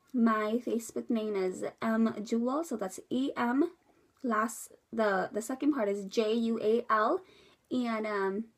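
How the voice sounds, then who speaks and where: A young woman from the US Midwest, congested from a cold, giving a rambling casual update to camera with many fillers. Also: fairly high pitch. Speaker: vlogger.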